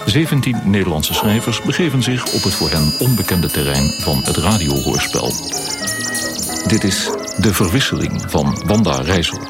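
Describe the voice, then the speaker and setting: A man's voice: deep voice, lively intonation, moderate pace. A man reading a scripted introduction as a radio announcer. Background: loud music.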